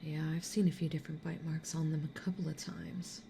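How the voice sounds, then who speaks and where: A woman voicing a self-assured, territorial succubus in an ASMR roleplay, speaking in a cool, dry, breathy half-whisper. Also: deep voice, little pitch movement, brisk, mellow, a little hiss or hum. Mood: cool, challenging.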